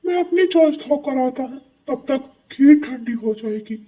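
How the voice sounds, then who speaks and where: A man voicing an excitable, feisty male parrot in a children's cartoon, with a squeaky voice. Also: a little slow, recorded from a distance, muffled recording, heavily acted. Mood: eager. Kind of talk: Hindi cartoon story acting.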